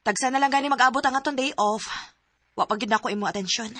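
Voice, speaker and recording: Bright, anxious young Cebuano girlfriend. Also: fairly high pitch, quick pace, no room sound. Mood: reproachful. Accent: Cebuano Filipino. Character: anxious young woman in a strained romantic relationship. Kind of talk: radio drama acting.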